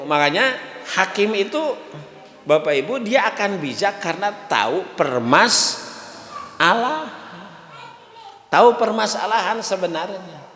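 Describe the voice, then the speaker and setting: A man with a Sundanese accent giving a lively, joking mosque lesson, chanting Arabic verses slowly between his explanations. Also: high voice. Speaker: ustadz teaching a mosque study class. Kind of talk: sermon. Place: large mosque hall. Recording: echoey mosque hall.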